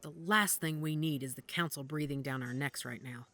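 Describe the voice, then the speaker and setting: A woman acting in an audio drama as a guarded, worried werewolf investigator who speaks gravely and deliberately. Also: low-pitched, a bit bright. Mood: urgent. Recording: music under the dialogue.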